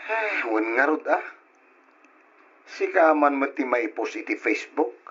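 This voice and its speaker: A man with an Ilocano accent playing a gossiping, scornful husband in an old radio drama, with a husky voice. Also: medium pitch, very animated, a little slow, fairly bright tone, full character voice. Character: gruff gossiping husband, scornful of scandalous relatives. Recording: dull lo-fi radio drama recording.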